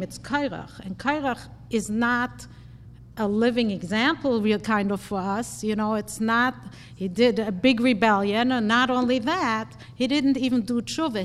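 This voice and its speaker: A woman speaks to a gathering in a large, reverberant hall, her talk chatty and self-deprecating and full of small fillers. Faint background noise sits under her voice. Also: a little high. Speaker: marriage and family therapist. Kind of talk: speaking at a community event. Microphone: handheld mic, then speaks without one.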